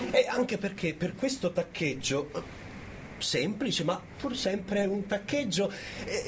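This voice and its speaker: A man's voice: high-pitched, a bit bright, slight background noise. A man performing a sarcastic, mock-indignant storyteller in a theatrical radio monologue, hesitating and chuckling through his points.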